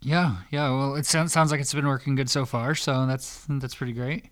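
A male podcast interviewer asking questions in a halting way, often rephrasing as he goes. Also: mid-range voice, steady tone, fairly bright tone.

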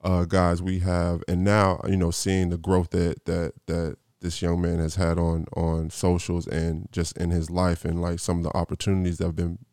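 Man podcast host, rambling slangy storyteller, deep voice, flat delivery, dry close sound. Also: moderate pace, few pauses, smooth, dry recording. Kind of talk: casual interview intro.

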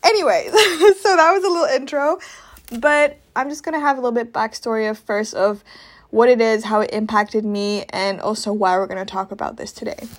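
A woman's voice: fairly high pitch, fairly quick. A young woman from Indonesia talking casually and candidly to listeners as a podcast host, in an informal, confiding way with slang. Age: young adult. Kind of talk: casual personal talk to listeners.

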